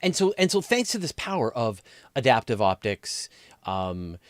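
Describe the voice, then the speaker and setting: A man's voice: fairly low pitch, dry recording. A man hosting a conversational science interview, phrasing his points with emphasis. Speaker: interview host.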